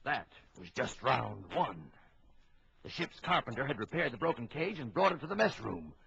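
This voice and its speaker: A man's voice: mid-range voice, sing-song, moderate pace, creaky, fairly bright tone, breathy edge, heavily acted. A man playing an authoritative ship's captain who narrates a suspenseful animal adventure for a children's story record. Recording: old lo-fi children's record, music behind.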